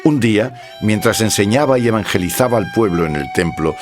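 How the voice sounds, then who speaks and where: Low male narrator reading bible text, solemn, measured delivery, scripted. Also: lively intonation, loud music behind the voice.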